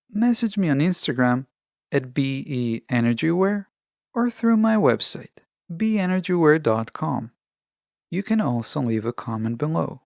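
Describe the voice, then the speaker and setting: A podcast host delivering a scripted self-help monologue in a measured, instructional way with deliberate phrasing. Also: mid-range voice, creaky, dry recording, studio quality.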